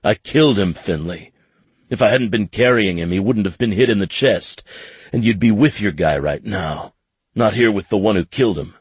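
A guilt-ridden former soldier speaks bluntly and with raw anguish, as a male narrator does the part. The voice is deep and heavily husky. It is close and dry. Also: measured pace, dry recording, full character voice.

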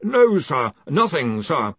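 A man voicing a nervous, deferential male researcher in a story reading, with a squeaky, quavering, stammering voice. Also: big pitch swings, takes it slowly, a bit bright, breathy edge, no echo, exaggerated voice.

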